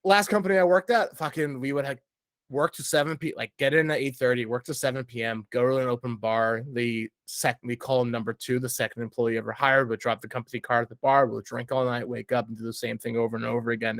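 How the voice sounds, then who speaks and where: A man's voice: mid-range voice, steady tone, fast talker, a bit bright, no echo. A man in a casual, slangy podcast chat, rambling and blunt, with lots of filler words. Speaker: podcast guest reviewing a TV show.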